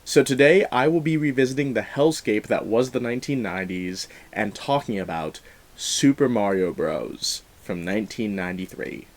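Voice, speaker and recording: Young guy reviewing movies, slightly low voice, chatty and wry, leans hard on words. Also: lively intonation, moderate pace. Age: young adult. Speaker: host, film reviewer. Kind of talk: semi-scripted review commentary.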